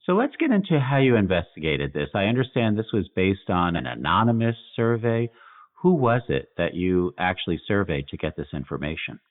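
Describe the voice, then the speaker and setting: A man's voice: sits low, big pitch swings, brisk. A man hosting a podcast, asking a guest about her study in a polite, inquisitive way. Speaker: podcast host, physician. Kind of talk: podcast interview questions. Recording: tinny phone-like line.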